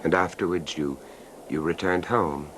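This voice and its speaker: A sympathetic gentleman confidant with a very deep voice. He prompts gently and very slowly, in old radio drama style, on a clearly hissy vintage recording. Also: steady tone. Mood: gently probing. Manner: gentle, measured prompting with hesitations. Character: quiet, sympathetic male listener drawing out a story. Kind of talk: 1970s radio drama acting.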